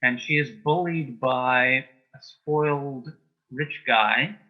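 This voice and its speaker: A man answering as an interview guest in a conversational way, with brief, deliberate replies. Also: mid-range voice. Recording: dull, lo-fi sound.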